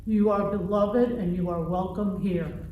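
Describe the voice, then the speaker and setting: Woman, deliberate liturgical refrain, roomy distant sound, muffled recording, faint noise. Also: medium pitch, steady tone. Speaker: worship participant. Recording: echoey, dull, distant mic, faint noise.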